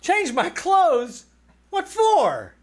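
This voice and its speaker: A man's voice: very high voice, huge pitch swings, very slow, a crowd in the background, exaggerated voice. An actor playing a bewildered, grumbling middle-aged father with incredulous delivery in a 1940s-style radio comedy. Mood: baffled. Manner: baffled, incredulous. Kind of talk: 1940s radio comedy acting before live audience.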